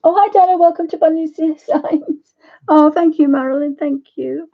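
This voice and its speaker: A woman with a croaky voice hosting a live painting session, chatting to viewers and thinking aloud as she works. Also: sits high, moderate pace, narrow, tinny audio. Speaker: art channel host. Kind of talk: live painting demo chat.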